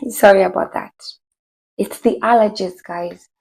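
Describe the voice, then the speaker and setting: A woman talking casually to her viewers, chatty and rambling with many fillers, her voice hoarse from a cold. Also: medium pitch, takes it slowly, darkish tone. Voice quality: husky, gravelly. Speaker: vlogger. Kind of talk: casual commentary to camera.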